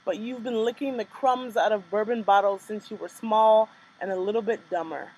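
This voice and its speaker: A woman's voice: a little high, breathy edge, rough recording. Young woman reading spoken word poetry. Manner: rhythmic, measured delivery with casual asides between poems. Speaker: poet performing her work.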